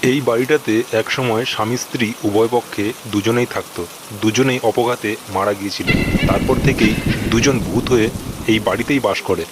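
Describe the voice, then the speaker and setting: A man narrating a scripted horror cartoon story. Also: mid-range voice. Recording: noisy recording with background ambience.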